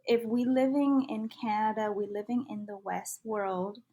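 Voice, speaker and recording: A woman's voice: mid-range voice, fairly even intonation, a little slow, dark tone, rough recording. A young woman from Vietnam, a native Vietnamese speaker with a Vietnamese accent, speaking earnestly and reflectively as a podcast guest. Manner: earnest, reflective, uses many fillers. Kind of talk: casual conversation.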